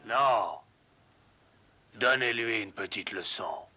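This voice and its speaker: A man's voice: on the low side, slow, sharp tone, exaggerated voice. A man voicing a grumbling but kindly old badger in a French cartoon dub, hesitant in his musing. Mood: stern. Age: older. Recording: music behind the voice.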